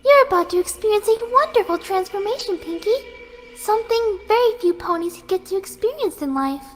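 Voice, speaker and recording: A very high, girlish pegasus pony speaks in a gentle, unhurried and sweet way. She is serenely deranged and menacing, in a horror fan fiction with music behind her. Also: lively intonation. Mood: serene rapture. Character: soft-spoken, serenely deranged young female pegasus pony.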